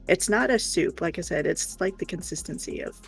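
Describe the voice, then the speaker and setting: Woman livestream co-host, creaky voice, casual and rambling, thinking aloud over background music. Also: on the low side, expressive, fairly quick.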